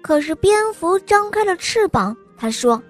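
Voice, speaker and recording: A young woman hosting a children's bedtime story show, narrating in a sweet, sing-song, gently coaxing manner. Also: extremely high pitch, very animated, moderate pace, soft background music.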